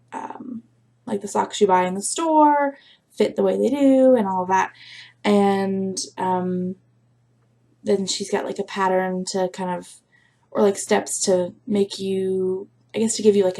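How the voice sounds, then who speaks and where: A woman vlogger chatting casually to her viewers, rambling with lots of fillers, her voice a little rough from a recent sore throat. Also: medium pitch, a little mellow. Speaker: crafting vlogger. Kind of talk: casual chat to camera.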